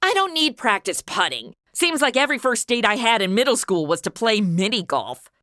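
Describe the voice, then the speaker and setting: A woman's voice: on the high side, very animated, normal speed, bright, forceful tone, no echo, clean studio sound. An actress playing a brash, carefree young woman in a comedy audio drama, slangy and bossy. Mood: impatient.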